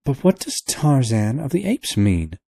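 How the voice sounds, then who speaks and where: A man's voice: medium pitch, big pitch swings, a little slow, creaky, warm tone, dry recording, studio quality, voice pushed up, exaggerated voice. A man voicing an earnest, well-mannered young woman of about nineteen.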